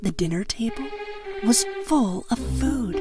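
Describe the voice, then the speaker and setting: A woman's voice: fairly high pitch, animated, unhurried. A woman with an American accent reading a scripted children's story as a storyteller. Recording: music behind the voice.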